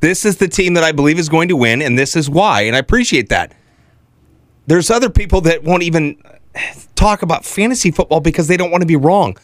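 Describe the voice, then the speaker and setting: A man's voice: fairly high pitch, expressive, fairly quick, dry recording. A man co-hosting sports radio, debating casually and emphatically, often repeating himself, close on a studio microphone. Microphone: close studio broadcast microphone.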